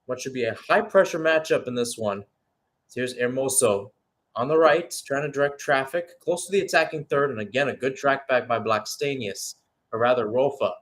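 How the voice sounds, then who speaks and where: A man's voice: mid-range voice, moderate pace, fairly bright tone. A man giving live radio-style play-by-play commentary on a football match, announcer-like and speaking in short phrases.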